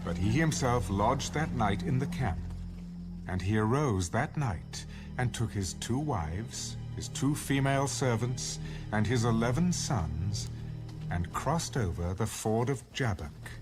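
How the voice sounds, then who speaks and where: A man's voice: medium pitch, lively intonation, unhurried. A man narrating an audio Bible in a dramatic, scripted storytelling style. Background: background music.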